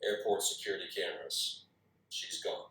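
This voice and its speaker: Low-voiced businesslike agent played by a man. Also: normal speed, pushed and bright, a little hiss or hum. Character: businesslike, polite male federal agent. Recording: echoey, distant call audio.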